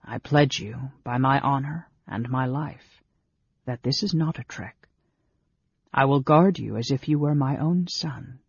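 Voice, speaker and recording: Man playing a weary, husky half-elf adventurer. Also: fairly high pitch, unhurried, a little mellow, no room sound, dull audio. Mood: earnest. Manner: earnest, exasperated.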